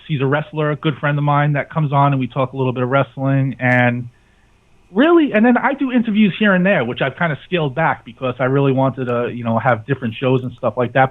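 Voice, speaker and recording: A man speaking as a guest in a casual interview, talking about his sports and comedy radio network. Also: medium pitch. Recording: thin phone-like radio call-in line.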